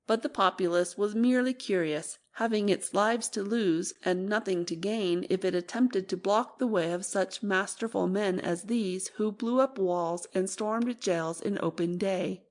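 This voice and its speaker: A woman narrates a classic adventure story as an audiobook. Her voice sits at a medium pitch, and she reads from a script as the storyteller. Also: a little slow, few pauses.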